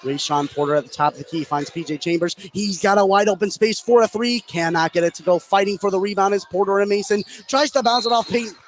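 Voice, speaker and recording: High-voiced male basketball play-by-play commentator, noisy gym. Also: fast, hardly any pauses. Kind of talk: live radio basketball commentary. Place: courtside in a high school gym. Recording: clearly noisy gym ambience. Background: clear gym noise.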